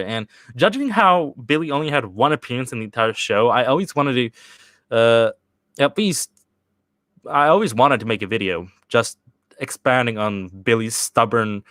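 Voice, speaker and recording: A man's voice: medium pitch, fairly bright tone, no echo. A young man from Sweden chatting casually on a livestream, answering viewer questions with lots of fillers and restarts. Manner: rambling, halting, with many restarts and fillers. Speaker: channel host answering live chat questions.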